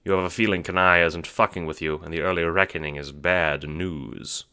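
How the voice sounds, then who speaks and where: A man's voice: sits low, fairly even intonation, moderate pace, sharp tone, clear, resonant tone. A man reading scripted second-person narration for an audio drama. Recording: music under the voice.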